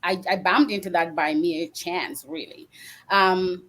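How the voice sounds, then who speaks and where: A woman's voice: fairly low pitch, steady tone, unhurried, a bit bright. A woman podcast guest who immigrated to Canada, reflecting on her own life in conversation. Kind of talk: reflective personal storytelling.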